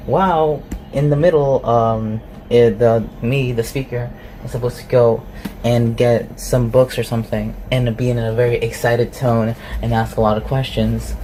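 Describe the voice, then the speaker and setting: An ASMR performer chatting casually and unscripted to listeners, with a halting, self-deprecating manner. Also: mid-range voice, steady tone, dark tone. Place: at home in an empty house. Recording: clearly noisy home recording.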